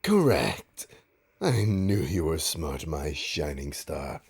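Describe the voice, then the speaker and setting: A man playing a suave, smug supervillain in an audio roleplay, teasing his nemesis with a pompous, mock-polite drawl and dramatic pauses. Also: rumbling low, big pitch swings, a little mellow, full character voice. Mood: taunting, amused. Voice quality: gravelly, husky. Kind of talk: audio roleplay monologue addressed to listener.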